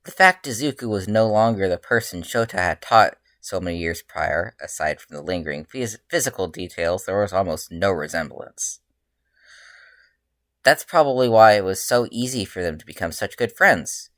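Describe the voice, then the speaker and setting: Expressive storyteller in a close, dry recording. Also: low voice, moderate pace, dry recording. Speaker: author reading own story aloud. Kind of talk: dramatic live fan fiction reading, narrated.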